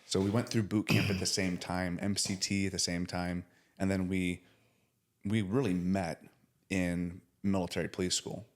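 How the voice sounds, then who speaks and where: Podcast host, man, 40s, very low voice, earnest and casual. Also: level tone, normal speed. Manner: earnest, warm praise with casual slang. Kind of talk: casual interview chat.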